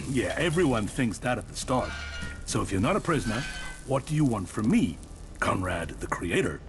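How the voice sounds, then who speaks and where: Manic, wildly swooping inventor. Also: male voice, fairly low pitch, sing-song, normal speed, over music. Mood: sly. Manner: theatrical, gleeful, grandiose. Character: eccentric, manic doomsday weapon inventor. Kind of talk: video game voice acting.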